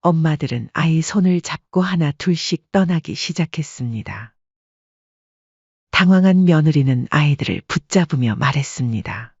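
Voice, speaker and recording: A woman's voice: sits low, expressive, normal speed, darkish tone, breathy edge, no echo, highs cut off. A woman working as narrator, reading a scripted dramatic story.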